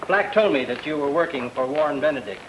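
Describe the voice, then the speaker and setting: Halting, higher-voiced investigator played by a man. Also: lively intonation, natural voice. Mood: hesitant. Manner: halting, repeats himself. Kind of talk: old-time radio drama acting. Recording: noisy old radio recording.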